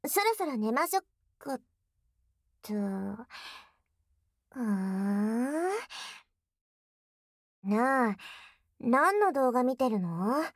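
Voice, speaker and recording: A woman's voice: on the high side, big pitch swings, very slow, pauses often, dry recording, exaggerated voice. A voice actress playing a young tsundere girlfriend, bashful yet teasingly bold, in an intimate ASMR audio drama on a close binaural mic. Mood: casual, curious. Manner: flustered, stammering, breathy asides dropping into whispers. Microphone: close binaural microphone, dry studio.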